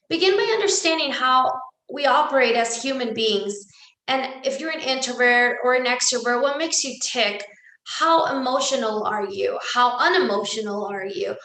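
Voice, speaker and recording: High-voiced woman giving an inspirational talk. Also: pushed and bright. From El Salvador. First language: Spanish. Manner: earnest, repeats words for emphasis. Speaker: speaker. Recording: echoey room.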